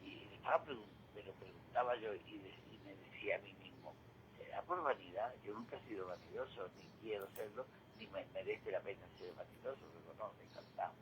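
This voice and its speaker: An older man giving a reflective radio monologue, halting and repeating words, with rhetorical questions. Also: deep voice, fairly bright tone. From Spain. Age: 60s or older. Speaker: retired regular contributor giving commentary. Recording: clearly noisy radio feed.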